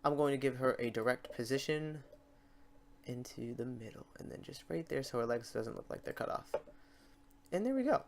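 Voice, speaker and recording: A man hosting a software tutorial in a casual, unscripted way, talking to himself as he works through the steps. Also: mid-range voice. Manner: informal, talks to himself while clicking through steps. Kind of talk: casual unscripted screen-recorded tutorial narration.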